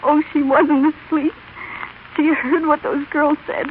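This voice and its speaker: Radio drama: tender, emotional young daughter, high pitch, on a hissy, narrow old recording. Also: female voice, flat delivery, unhurried. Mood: distraught. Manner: earnest, emotional, pleading. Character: devoted, tender teenage daughter, earnest and pleading. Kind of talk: 1930s-40s radio drama acting.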